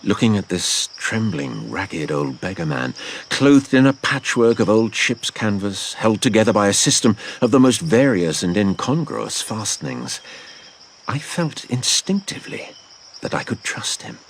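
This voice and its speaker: A man's voice: lowish voice, measured pace, slight background noise. A man playing a grown adventurer narrating his boyhood tale formally in an audio drama. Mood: reflective. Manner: formal, literary, expressive. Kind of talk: dramatised first-person narration.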